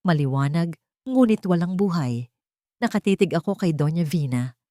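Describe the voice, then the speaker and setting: Fairly low-voiced woman narrating a drama. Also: lively intonation, moderate pace, dark tone, no echo, studio quality. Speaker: storyteller. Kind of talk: dramatic scripted first-person narration.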